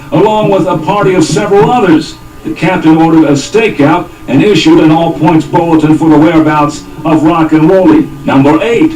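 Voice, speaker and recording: High-voiced eager police detective, acted by a man. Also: recorded from a distance, natural voice. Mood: earnest, eager. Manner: reads out numbered facts like an official report. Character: earnest, eager police detective reporting to his senior partner. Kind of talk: 1950s police radio drama parody. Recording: roomy cassette copy with music behind.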